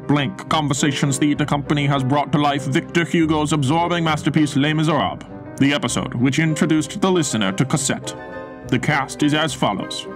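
A male announcer delivers a scripted radio drama announcement. His voice sits a little high and his manner is formal and presentational. Music plays clearly behind him. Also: brisk.

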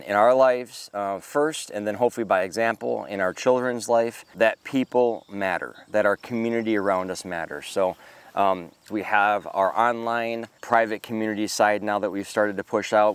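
A man in his forties with a smooth, clear, fairly low voice speaks straight into a close camera outdoors among trees. He talks in an earnest, grateful, reflective way, pausing often with ums and uhs as he gathers his thoughts. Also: fairly even intonation, no echo. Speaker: homesteading channel host. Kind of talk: casual devotional talk to camera. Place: outdoors in a park-like yard with trees. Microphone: camera close up at arm's length.

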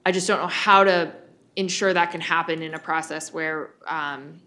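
Slightly low-voiced woman, halting delivery. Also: fairly even intonation, pushed and bright. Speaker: school board member. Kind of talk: deliberating at a public meeting. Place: public meeting room, indoors.

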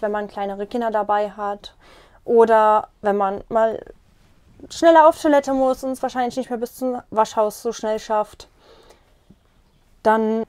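Young woman, slightly high voice, casual tour-guide chat, lapel mic in a small caravan. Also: a little mellow, smooth, no room sound. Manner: friendly, explaining as she walks and points. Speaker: vlogger giving a tour.

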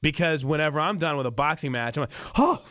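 A man's voice: on the high side, expressive, quick pace, a bit bright, no room sound. A man in his thirties hosting sports talk radio, giving emphatic, opinionated takes in conversational banter. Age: 30s.

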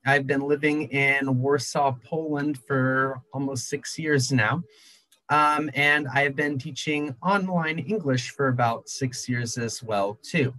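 A man's voice: medium pitch, steady tone, takes it slowly, sharp tone, no echo, low-quality recording. A man in his thirties from California, speaking in an upbeat, friendly way on a video call. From California, USA. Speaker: English teacher and speaker. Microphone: video call, webcam.

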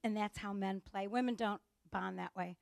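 A woman with a Chicago accent giving a humorous conference talk on gender differences, telling stories and acting out dialogue with lively delivery. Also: medium pitch, moderate pace, creaky, dry recording, studio quality. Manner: lively storytelling with acted-out dialogue. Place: conference stage before an audience.

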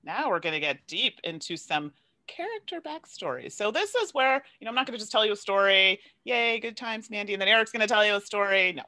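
A young woman hosts an online panel over a video call, chatting easily and laughing as she goes. Her voice is bright and swoops up and down a lot, and the sound is close and dry with no room around it. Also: mid-range voice, animated, a little fast, no echo. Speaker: panel host, content creator.

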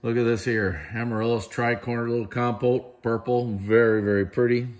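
A middle-aged man talks through auction glassware in a relaxed, enthusiastic manner, his voice at an ordinary pitch. He speaks into a handheld camera in a large indoor warehouse space. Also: normal speed. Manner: enthusiastic, chatty, praises pieces. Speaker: auctioneer presenting lots. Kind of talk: casual walkthrough of auction items.

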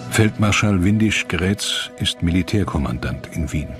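Male documentary narrator, low-pitched, grave and measured, scripted, with prominent music underneath. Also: lively intonation.

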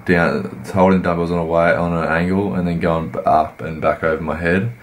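A man, croaky from a cold, giving laid-back, rambling voice-over commentary on his workout. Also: sits low, fairly even intonation, normal speed, slight background noise. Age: 20s. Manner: laid-back, rambling, many fillers and restarts. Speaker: fitness vlogger.

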